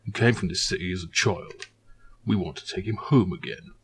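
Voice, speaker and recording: A man voicing a cold, ruthless male military commander, husky, curt and commanding. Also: sits low, normal speed. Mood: cold menace.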